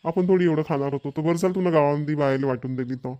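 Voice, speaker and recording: Village family man played by a male actor, Varhadi rural accent, slightly high voice, chatty, contented and emphatic, for a cartoon family comedy. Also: very fast, mellow, lo-fi sound, natural voice. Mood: approving, chatty. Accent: rural Maharashtrian, Varhadi.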